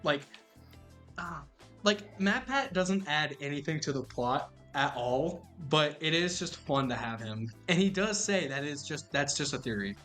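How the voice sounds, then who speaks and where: Enthusiastic young man, bright voice. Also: on the high side, animated, unhurried, faint music behind. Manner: enthusiastic, jumps in often, yells when mimicking. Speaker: podcast co-host. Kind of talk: casual chat.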